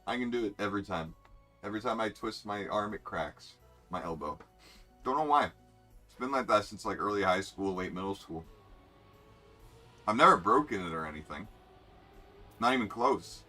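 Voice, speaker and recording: A young man rambles through casual game commentary in a bright, forceful voice. His pitch swings widely as he jokes and reads out player names. Soft music plays faintly behind him. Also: animated, normal speed, long pauses. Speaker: streamer.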